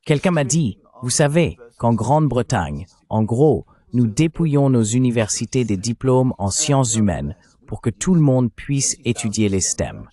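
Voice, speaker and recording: Lively synthetic male commentator voice. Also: mid-range voice, a little slow. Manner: emphatic, argumentative.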